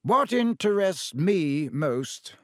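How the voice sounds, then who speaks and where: A man voicing a wise old male headmaster who speaks gently and in a measured way. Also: high voice, very animated, dry recording, clean studio sound, voice pushed up, heavily acted. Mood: gentle.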